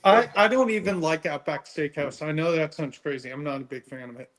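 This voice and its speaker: A man's voice: fairly high pitch, brisk, a bit bright. An American man chatting casually, telling stories and acting out what other people said to him. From born in Connecticut, USA, lived in several countries as a child. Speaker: panelist on a pop culture hangout show. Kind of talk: casual chat.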